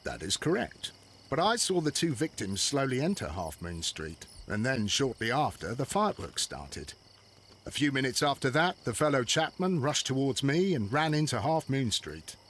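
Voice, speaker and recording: An actor playing an earnest Victorian police constable with an English accent, reporting matter-of-factly in a video game drama. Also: male voice, medium pitch, big pitch swings, normal speed, fairly bright tone, slight background noise. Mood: earnest, factual.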